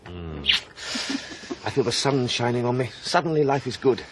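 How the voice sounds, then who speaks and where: An actor playing a devoted, romantic new husband in a radio drama, earnest and tender with old-fashioned phrasing. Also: male voice, mid-range voice, very animated, a little slow, noisy background. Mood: cheerful, affectionate. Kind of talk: radio drama narration and dialogue.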